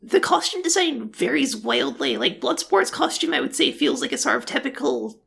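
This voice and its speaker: A woman co-hosting a relaxed film podcast in a high voice thick with vocal fry and a husky edge. She sounds laid-back and wry, dropping dry questions into the chat. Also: moderate pace. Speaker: podcast co-host. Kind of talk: casual film-review chat.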